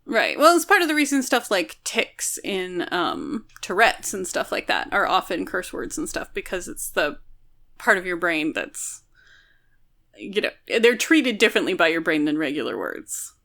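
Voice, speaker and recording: Bright-voiced woman talking books. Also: on the low side, wildly swooping pitch, moderate pace, creaky. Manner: analytical, explains at length with hedging asides. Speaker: podcast co-host discussing a novel. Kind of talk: casual analytical book discussion.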